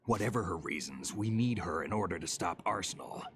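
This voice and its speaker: A grim old-hand soldier in a game, very low voice, curt orders, lots of pitch movement. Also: male voice, lively intonation, a little fast. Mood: grim, commanding. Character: gruff, world-weary male veteran soldier, commanding. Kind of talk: dramatic video game voice acting. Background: game music.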